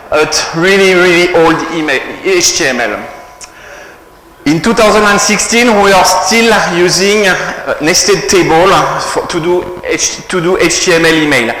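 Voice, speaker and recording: A man's voice: fairly high pitch, bright, forceful tone. French-accented man in an echoey hall. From France. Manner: halting, many fillers and restarts. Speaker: conference speaker. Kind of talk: technical conference talk. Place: conference hall. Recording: echoey hall, faint room noise.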